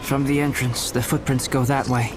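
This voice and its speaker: A calm young male alchemist from a video game , played by a man, speaks with polite detachment. His phrasing is formal and measured, with an aloof air. Music runs clearly under his lines. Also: mid-range voice, lightly acted, rough recording. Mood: focused, decisive. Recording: music behind the voice.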